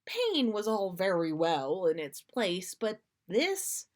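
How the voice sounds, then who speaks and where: A woman's voice: medium pitch, very animated, slow pace. Female podcast storyteller, mid-range voice, narrating fiction read from text. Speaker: podcast host reading listeners' stories. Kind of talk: storytelling, reading fiction aloud.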